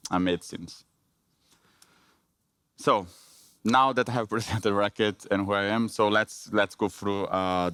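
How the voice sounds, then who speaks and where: A man in his thirties from Brazil with a Brazilian Portuguese accent, giving a conference presentation in a halting way with many fillers and restarts. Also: lowish voice, a bit bright, no room sound, clean studio sound.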